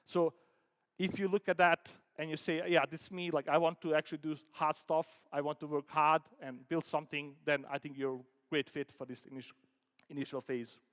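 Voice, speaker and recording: A man from Denmark giving an informal conference talk as a startup founder, halting and conversational, peppering sentences with filler words like "like" and "you know". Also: a little high, fairly even intonation, fairly bright tone. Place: conference hall stage. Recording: echoey hall with long reverb.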